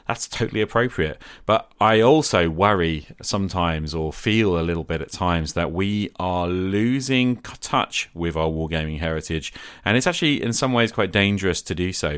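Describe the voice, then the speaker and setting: A man hosting a podcast in a conversational, earnest and reflective way, with measured phrasing. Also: low voice, fairly bright tone, no room sound, studio quality. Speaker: podcast host. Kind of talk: conversational podcast talk on tabletop roleplaying.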